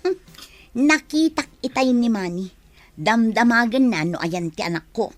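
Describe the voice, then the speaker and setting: A woman's voice: on the high side, expressive, unhurried, dry recording, soft background music. A woman with an Ilocano Filipino accent playing a guarded, defensive young mother in a radio drama. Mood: worried.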